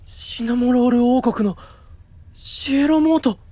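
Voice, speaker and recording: A man's voice: very high-pitched, a little slow, mellow, dry recording. An actor playing an earnest, brave but self-doubting young male knight in a Japanese fantasy voice drama. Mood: serious, probing. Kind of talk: Japanese anime-style voice drama.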